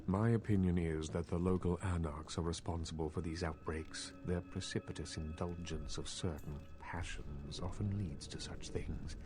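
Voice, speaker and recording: A very low-voiced elder vampire from a video game , acted by a man, speaks over prominent music. He is cultured and scheming, choosing formal words in a measured, condescending way. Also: fairly even intonation, close to an everyday voice. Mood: grave, disdainful. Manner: formal, measured, courtly speech. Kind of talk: video game character dialogue. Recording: loud game music behind.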